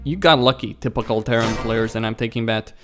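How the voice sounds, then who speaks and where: A man's voice: medium pitch, fairly quick, lightly acted. A man voicing a cocky, hot-tempered young pro gamer who is an indignant sore loser, in an emphatic, incredulous manner, over music. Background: background music.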